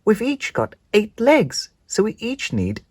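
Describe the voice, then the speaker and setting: Tiny young female spider for a storybook, acted by a narrator: high, childlike, excitable, very animated. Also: slow, husky, dry recording, clean studio sound, exaggerated voice. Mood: excited. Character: small eager young female spider who loves counting.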